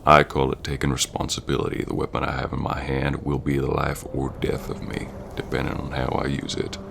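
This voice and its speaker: A man playing a stern, gentlemanly Old West bounty hunter with a gravelly voice and folksy talk, in an ASMR roleplay. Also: monotone, background noise, full character voice. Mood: indignant. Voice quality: very deep, gravelly. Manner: slow-burning, stern gentlemanly drawling talk with folksy grammar. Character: gruff, principled Old West bounty hunter, male, wounded ex-lover. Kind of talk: ASMR roleplay acting addressed to the listener.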